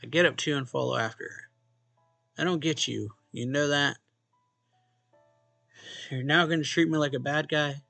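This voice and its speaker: A man's voice: fairly high pitch, moderate pace, vocal fry, long pauses, bright, forceful tone. A man reading a visual novel's narration and dialogue aloud expressively, as a let's play host. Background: game music.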